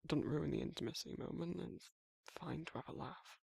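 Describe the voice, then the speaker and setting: A man playing a protective, affectionate werewolf boyfriend in an intimate ASMR roleplay, murmuring casually in a husky, breathy voice that often drops toward a whisper. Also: a little high, brisk, dry recording, clean studio sound, heavily acted. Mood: teasing, affectionate. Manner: casual, colloquial, soft-spoken intimate murmur with soft chuckles.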